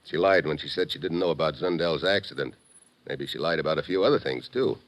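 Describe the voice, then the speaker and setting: An actor playing a tough, wry American secret agent, hard-boiled and slangy, in 1950s radio drama style. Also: male voice, a bass voice, fairly quick. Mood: thoughtful.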